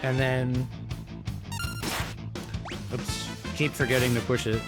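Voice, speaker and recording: A man's voice: mid-range voice, level tone, slow pace. A man giving casual, rambling, explanatory commentary as he plays through a video game. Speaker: gameplay commentator. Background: game music.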